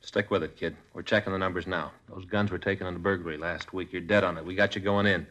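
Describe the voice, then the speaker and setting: A man's voice: sits low, steady tone, quick pace, a bit bright. An actor playing a hard-nosed police detective and father of two in a 1950s police drama, blunt and stern.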